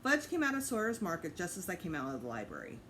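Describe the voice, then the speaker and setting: A woman teacher reading a children's storybook aloud in an expressive narrating style. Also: lowish voice, quick pace, a little hiss or hum.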